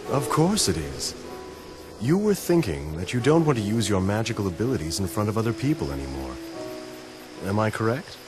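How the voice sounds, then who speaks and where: A man voicing a gentle, melancholy dreamer in a video game, speaking in a measured, courteous, earnest way. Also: on the low side, big pitch swings. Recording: background music under voice.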